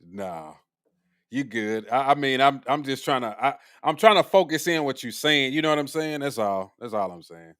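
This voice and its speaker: A man of about forty hosting a casual livestream chat, relaxed and slangy, with lots of "mm-hmm" and easy laughs. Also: mid-range voice, normal speed, no room sound, studio quality. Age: 40s. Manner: laid-back slang, frequent "mm-hmm" and laughs. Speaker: livestream host.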